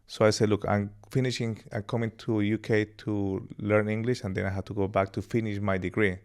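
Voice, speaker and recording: A man in his thirties from Colombia with a Colombian accent and Spanish as his first language, telling his life story as a podcast guest in a relaxed, rambling way. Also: on the low side, fairly quick, clean tone. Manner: relaxed, laughs easily, rambling anecdotes. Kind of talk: casual interview storytelling.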